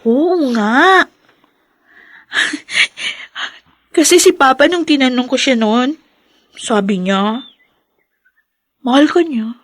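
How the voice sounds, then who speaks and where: An affectionate young daughter in a radio drama. Her voice is fairly high and sweet, and she takes her time, drawing out phrases expressively in a dry, close recording. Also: drawn-out words, pauses often, a bit bright, dry recording, heavily acted. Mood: playful, teasing. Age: young adult.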